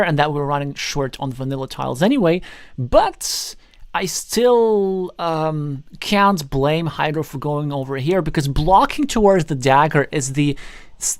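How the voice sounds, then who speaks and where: A man's voice: a little high, no room sound, studio quality. A man whose first language is Russian, giving live board game commentary in an analytical way, thinking aloud as he goes. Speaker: tournament commentator.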